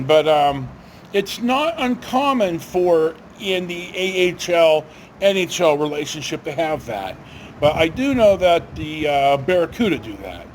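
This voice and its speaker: Higher-pitched older man rambling casually. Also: a little mellow, a little hiss or hum. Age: older, retired. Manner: halting, rambling, self-correcting. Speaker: video storyteller. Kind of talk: casual rambling talk to camera.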